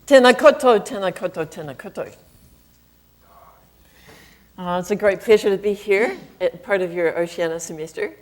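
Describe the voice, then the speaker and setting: A woman's voice: medium pitch, fairly quick, a little mellow, a little hiss or hum. A middle-aged woman with a New Zealand accent giving a chatty, self-deprecating lecture to an audience, with frequent ums. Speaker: guest speaker, flute professor. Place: campus auditorium.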